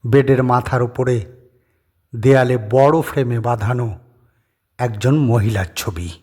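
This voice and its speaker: A man reads a horror story as its narrator in a medium-pitched voice. He goes slowly and measuredly, pausing between short phrases. The delivery is scripted and dramatic. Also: darkish tone, slightly rough.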